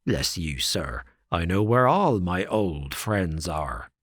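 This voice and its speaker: A man doing a rough, sinister old sailor. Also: fairly low pitch, lively intonation, fairly bright tone, no echo, clean studio sound. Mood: sly. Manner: slow, rough working-class speech.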